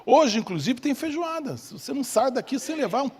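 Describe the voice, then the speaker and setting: A male preacher with a Rio Brazilian accent delivers a sermon in a husky, high-set voice. His delivery swings widely up and down as he hammers phrases and throws out rhetorical questions. The sound rings with the long reverb of a hall. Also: big pitch swings, brisk, darkish tone. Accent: Brazilian, from Rio de Janeiro. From Rio de Janeiro, Brazil. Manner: emphatic, repeats key phrases, asks rhetorical questions. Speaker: preacher, bishop. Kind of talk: evangelical sermon. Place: church service in a large hall.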